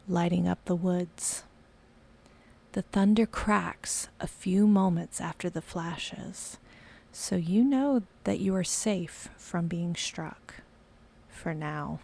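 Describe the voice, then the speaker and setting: Casting: female meditation guide, unhurried and breathy, lowish voice with heavy creak, soft half-whispered narration. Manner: soft, slow, partly whispered.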